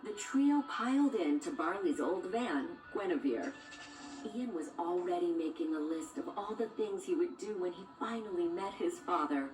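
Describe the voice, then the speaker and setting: A woman's voice: fairly low pitch, expressive, moderate pace, a little mellow. A woman reading a scripted children's storybook as a read-along narrator, with music behind her. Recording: roomy, distant sound with music underneath.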